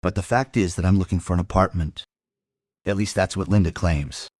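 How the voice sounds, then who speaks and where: An actor playing a brooding, persistent crime investigator who narrates and questions in a measured, reflective way in an audio drama. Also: male voice, deep voice, steady tone, a little mellow, husky, no echo, clean studio sound.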